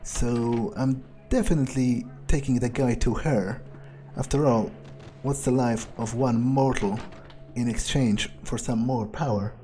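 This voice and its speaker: A man's voice: medium pitch, steady tone, measured pace, dark tone, soft background music. A man doing in-character gameplay commentary, thinking aloud in a nonchalant, rambling way with casual swearing. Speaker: let's player.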